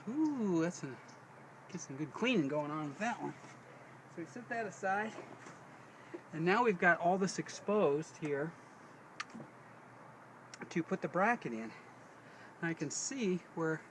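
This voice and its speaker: A middle-aged man giving a casual hands-on how-to, thinking aloud in a folksy way as he works inside a vehicle. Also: fairly high pitch. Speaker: DIY demonstrator. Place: inside a parked Jeep. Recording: noisy handheld recording in a vehicle.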